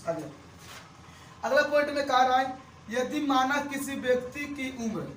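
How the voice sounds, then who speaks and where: A man's voice: very high voice, unhurried, a bit bright, roomy sound, far from the mic, slight background noise, rough recording. A man in his twenties teaching math, who repeats each step and asks his students questions. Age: 20s. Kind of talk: classroom-style lesson.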